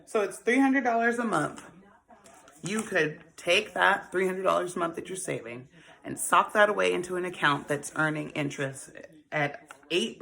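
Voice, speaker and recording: A woman's voice: fairly low pitch, gravelly, a bit bright. A woman in her forties hosting a casual talk on saving money, chatty and laughing often, calling her listeners honey and baby. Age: 40s. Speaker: host. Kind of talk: casual financial advice talk.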